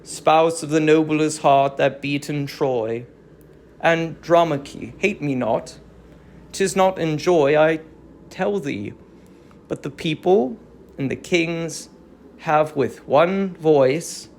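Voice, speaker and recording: A performer drops the voice for a troubled male herald in a Greek tragedy. He speaks low and creakily, halting and grave, reluctant to deliver cruel orders. Faint noise lies behind. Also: darkish tone. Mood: reluctant, uneasy. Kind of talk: dramatic reading of classical Greek tragedy.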